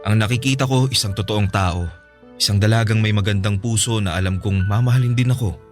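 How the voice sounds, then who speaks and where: An actor playing an earnest, devoted young suitor in a Filipino radio drama, speaking insistently. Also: male voice, lowish voice, fairly quick, soft background music, close to an everyday voice. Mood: tender.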